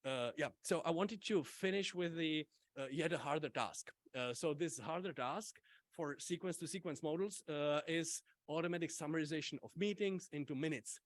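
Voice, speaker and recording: A man whose first language is Czech gives an academic conference talk, halting often with fillers and restarts. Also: fairly high pitch, no room sound. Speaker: invited conference speaker.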